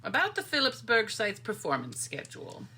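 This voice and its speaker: A woman voicing a brisk, guilt-tripping town society organiser in a dramatic comic reading. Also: fairly high pitch, huge pitch swings, sharp tone, husky, exaggerated voice. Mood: shocked, reproving.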